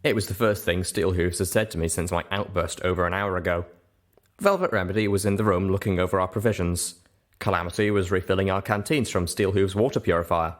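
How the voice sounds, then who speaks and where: A man's voice: low voice, fairly even intonation, brisk. A man reading a scripted first-person story as an audiobook narrator.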